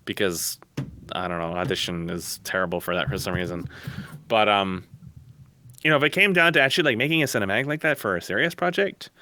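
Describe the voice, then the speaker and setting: A man's voice: on the low side, brisk, pushed and bright, slight background noise. A man in a casual opinionated discussion on an online voice call, rambling, blunt and emphatic. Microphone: close mic on an online voice call.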